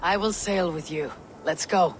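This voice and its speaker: A woman playing a proud, weary Viking warrior in a video game, speaking in a solemn, measured and deliberate way. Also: on the low side, soft background music, lightly acted, low-quality recording. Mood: resolute. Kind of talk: video game cutscene acting.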